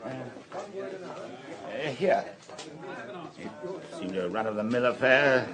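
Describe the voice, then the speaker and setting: An actor playing a dutiful, deferential police inspector in a radio drama, matter-of-fact, with a British accent. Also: male voice, medium pitch, big pitch swings, slow pace, fairly bright tone, some chatter behind. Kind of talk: BBC radio drama acting.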